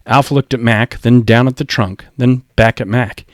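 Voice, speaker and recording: A man reads a story aloud as an audiobook narrator. His voice sits in a middle register and the delivery is expressive and scripted. The recording is dry, with hardly any room sound. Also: medium pitch, moderate pace, dry recording.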